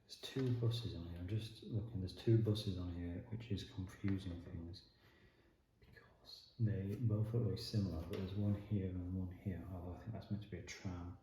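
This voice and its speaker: A man with a strong Yorkshire accent from Leeds, chatting in a relaxed, meandering way while doing a jigsaw puzzle at his dining table. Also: sits low, normal speed, slight background noise. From northern England. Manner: meandering, thinking aloud, many ums. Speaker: jigsaw video host. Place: indoor dining room at a wooden table. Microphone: camera facing the table, speaker off frame. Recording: very echoey room.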